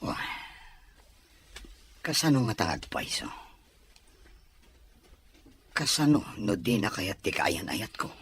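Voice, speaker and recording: A man's voice: lowish voice, expressive, slow, long pauses, a bit bright, slightly rough, exaggerated voice. An actor with an Ilocano accent playing an earnest young man in love in a radio drama. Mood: anxious, pleading.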